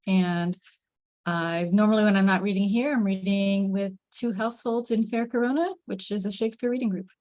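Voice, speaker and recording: A woman's voice: medium pitch, steady tone, a little fast, a little mellow. A woman reading stage directions and chatting at an online table read, heard over a video call. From United States. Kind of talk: scripted narration and casual introduction.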